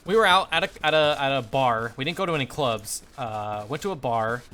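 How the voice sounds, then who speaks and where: A young man telling a casual story while gaming on a livestream, animated and slangy, swearing freely. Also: medium pitch, brisk, slight background noise. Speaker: live streamer.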